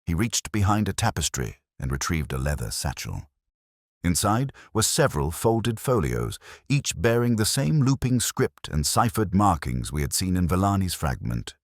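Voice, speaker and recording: A man reads a Victorian detective story as scripted narration. His voice is extremely deep, with a lively, moving pitch. The sound is dry and close. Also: normal speed, no echo. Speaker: audiobook narrator.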